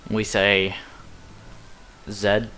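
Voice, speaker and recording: Laid-back Australian man, lowish voice. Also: monotone, extremely slow, bright, forceful tone, a little hiss or hum. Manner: chatty, self-deprecating, rambling asides. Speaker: speedrunner. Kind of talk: casual commentary over gameplay.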